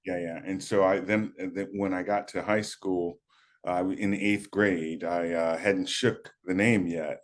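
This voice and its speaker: A man in his fifties with a very low voice tells stories at an easy pace. He often pauses and adds fillers as he recalls the past in an interview. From United States. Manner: relaxed storyteller, halting with fillers. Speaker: guest, former college running back. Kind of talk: casual interview talk.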